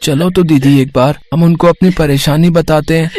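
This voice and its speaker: Man acting eager little brother, highish voice. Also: fairly even intonation, normal speed, warm tone, dry recording, lightly acted. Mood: consoling. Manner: eager, wishful. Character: young, food-loving, eager little brother. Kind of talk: moral story character acting.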